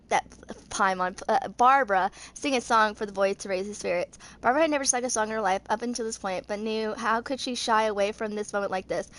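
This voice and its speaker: A woman in her twenties reading story text aloud as an amateur video creator, haltingly, with stumbles and misreadings. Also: fairly high pitch, fairly bright tone. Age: 20s.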